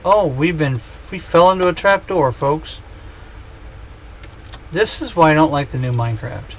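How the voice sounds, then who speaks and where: Man, thirties, relaxed slow gaming chat, slightly raised voice, self-mocking jokes, faint background hiss. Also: a little high. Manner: joking, rambling, self-mocking. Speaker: streamer. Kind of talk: casual gaming livestream chat.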